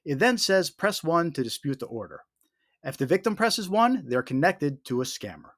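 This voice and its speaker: A man reading a scripted explainer as host, with emphatic, deliberate delivery and short warning statements. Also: on the high side, sing-song, dry recording, clean studio sound. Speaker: host of a scam awareness video.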